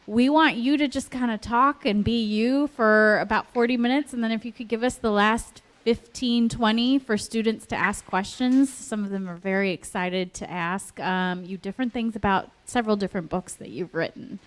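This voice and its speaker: A woman hosting a school video call lays out the plan for the session in a friendly, organized way. Her voice sits at an ordinary middle pitch and her delivery stays plain. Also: moderate pace, hardly any pauses. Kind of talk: casual welcome and instructions.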